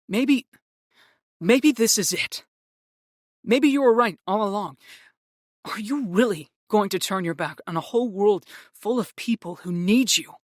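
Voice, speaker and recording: A man's voice: high voice, a little slow, pauses often, no room sound, clean studio sound. A man playing an earnest, persuasive young man in a fantasy drama. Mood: earnest, urging. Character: earnest young man urging his friend. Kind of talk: fantasy audio drama acting.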